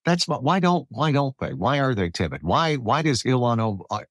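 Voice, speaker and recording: A man of average pitch hosts a political interview in a conversational style. He talks haltingly, often repeating words while he reaches for the phrase. His voice is recorded dry, with no audible room. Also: big pitch swings, dry recording. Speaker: podcast host, interviewer.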